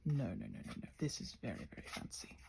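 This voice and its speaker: A woman chatting casually and playfully while crafting, often interrupting herself with trailing asides, her voice breathy and often dropping to a near-whisper. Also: extremely low pitch, huge pitch swings, fast, warm tone, slight background noise. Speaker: craft and ASMR video creator. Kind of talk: casual rambling vlog chat while crafting.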